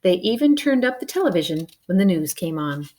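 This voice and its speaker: A woman reading a children's picture book aloud, expressive and adding playful spoken asides. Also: fairly low pitch, a little fast. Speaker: storybook reader.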